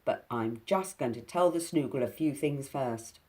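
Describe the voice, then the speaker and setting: A woman voicing a small, bold male pixie who talks fiercely and loudly in a storybook reading. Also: very deep voice, moderate pace. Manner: fiery, indignant, boastful.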